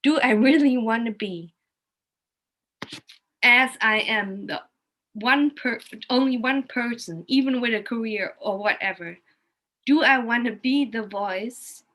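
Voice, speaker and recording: Woman, German accent, bright forceful voice, emphatic and informal in casual conversation. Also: fairly high pitch, unhurried, rough recording. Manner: emphatic, opinionated, informal with swearing.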